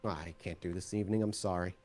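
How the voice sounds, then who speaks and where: A man's voice: sits low, steady tone, fast, darkish tone, voice pushed down, lightly acted. A man playing a polite, earnest and devout street preacher in a tabletop game, speaking hesitantly. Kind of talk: in-character tabletop roleplay.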